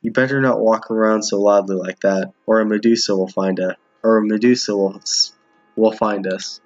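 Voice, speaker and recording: A man's voice: fairly low pitch, steady tone, normal speed, a little mellow. A man giving casual game commentary as a streamer, reading dialogue aloud and often stumbling over or repeating lines. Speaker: streamer playing a visual novel with viewers. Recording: muffled, roomy home recording.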